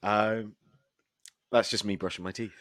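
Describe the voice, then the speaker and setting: A man with a hoarse, husky voice co-hosting a casual podcast and laughing often between remarks. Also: fairly low pitch, fairly even intonation, unhurried, fairly bright tone, dry recording, studio quality. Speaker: podcast co-host. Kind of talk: casual chat.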